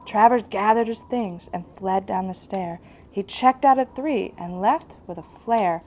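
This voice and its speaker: A woman's voice: medium pitch, unhurried, darkish tone, a little hiss or hum. A woman host narrating a rhymed ghost story in storybook style.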